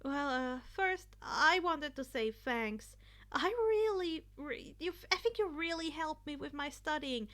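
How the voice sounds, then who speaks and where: A woman voicing a nervous, grateful teenage boy who speaks in a halting, sheepish stammer in an audiobook story. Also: high voice, lively intonation, fairly bright tone, full character voice. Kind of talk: acted fan fiction audiobook dialogue.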